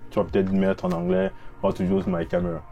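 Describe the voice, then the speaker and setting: Lower-voiced man hosting a chat. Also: very animated, a little fast, creaky, mellow, faint music behind. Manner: reflective, asks probing questions. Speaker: host. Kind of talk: casual discussion.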